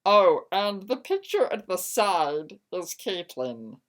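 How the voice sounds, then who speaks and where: A woman's voice: lowish voice, very animated, takes it slowly. A woman voicing a gushing, scheming teenage fangirl in an amateur fanfiction reading, stammering eagerly. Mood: chirpy, teasing.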